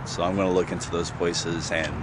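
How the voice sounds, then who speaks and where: A man's voice: deep voice, little pitch movement, brisk. A man in his thirties casually narrating an outdoor exploring vlog, walking through woods with a camera held at arm's length. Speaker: outdoor vlogger. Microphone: handheld camera at arm's length. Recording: outdoor noise behind the voice.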